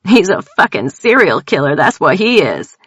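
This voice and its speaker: A woman voicing a frightened, tearful young woman with an East Texas accent, talking in a high-strung, rapid way. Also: creaky, fairly bright tone, no room sound. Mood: bitter. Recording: dull dry audio.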